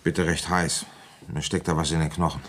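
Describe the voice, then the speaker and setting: A deep male voice as a village police inspector in a radio drama. He is dogged and probing, putting terse questions in a methodical, orderly way. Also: little pitch movement, normal speed, fairly bright tone, slight background noise. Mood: weary. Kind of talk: German radio play acting.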